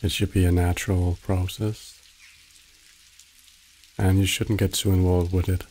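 A man narrating a guided meditation in a soothing, measured way, giving short instructions with long pauses. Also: low-pitched, flat delivery, dark tone, slight background noise.

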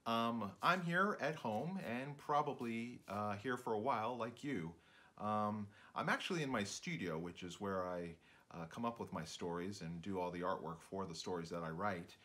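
A man's voice: lowish voice, normal speed, sharp tone. A man in his fifties, a children's author, speaking informally and reading storybooks aloud at home in his book-lined studio.

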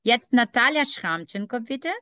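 A woman's voice: fairly high pitch, expressive, moderate pace, sharp tone, smooth, no echo, studio quality. A woman teaching a beginner German class over a video call, patient and encouraging with her students. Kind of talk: online language class.

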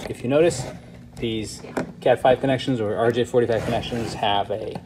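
A man's voice: mid-range voice, darkish tone. Man giving casual step-by-step tutorial, noisy background. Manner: instructional, step-by-step. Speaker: product demonstrator. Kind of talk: hands-on tutorial narration, casual. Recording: clear background noise, room ambience.

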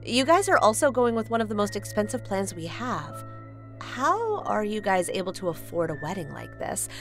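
A woman's voice: medium pitch, very animated, soft background music. A woman playing a condescending young wedding planner in a manga dub, an ex-girlfriend who is mock-polite and taunting. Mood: snide politeness. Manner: mock-polite, taunting, drawn-out jabs with scornful laughs.

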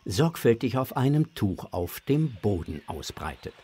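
A man narrating a children's audio drama in a scripted storytelling style, with a husky voice. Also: on the low side, sing-song, unhurried, mellow.

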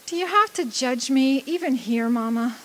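A woman playing a loud, brassy, flashy dead woman, wry, defiant and flirtatious, in a radio drama. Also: high-pitched, animated, normal speed.

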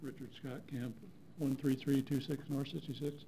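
A man's voice: mid-range voice, little pitch movement, moderate pace, creaky, dark tone, slight background noise, poor audio. A middle-aged man, a resident giving casual public comment at a podium microphone in a council chamber. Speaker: resident speaking at a public hearing. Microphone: gooseneck podium microphone.

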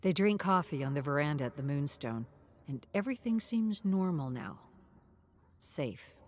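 A woman narrating a scripted dramatic story with theatrical, suspenseful and deliberate phrasing. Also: low voice, lively intonation, slight background noise.

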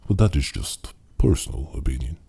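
An actor playing a superior, cryptic occult believer who speaks in grandiose, formal pronouncements in an audio drama. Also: male voice, extremely low pitch, expressive, brisk, gravelly, warm tone, slight background noise, heavily acted. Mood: ominous.

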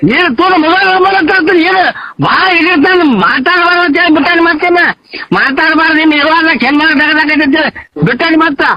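Man, North Karnataka accent, extremely high voice, quarrelsome, stumbling repetitions, muffled phone recording. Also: steady tone, fast talker, dry recording. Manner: argumentative, stammering repeats. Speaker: prank call participant. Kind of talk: heated phone argument in village dialect. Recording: dull lo-fi phone call recording.

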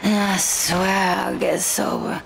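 An actress voicing a crafty, drugged wasteland fighter in a video game, sluggish and wry with a gravelly voice. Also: female voice, mid-range voice, level tone, takes it slowly, slight background noise. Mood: groggy, bargaining.

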